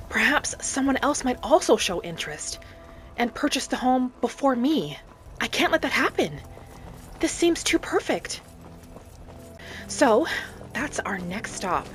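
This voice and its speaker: A woman voicing a gentle, curious wood elf traveler, musing in first person as she narrates a cozy game roleplay. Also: a little high, a little hiss or hum, voice pushed up. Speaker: gamer narrating a roleplay as her character. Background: game music behind the voice.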